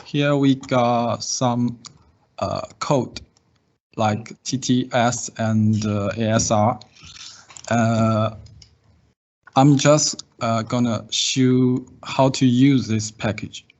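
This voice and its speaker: Halting, slow-paced man on dull call audio. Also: medium pitch, steady tone, darkish tone. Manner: hesitant, halting, long pauses. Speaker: demonstrator assisting in an online class. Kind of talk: hands-on software demonstration. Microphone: video call. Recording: dull, slightly noisy call audio with typing. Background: keyboard typing and clicks.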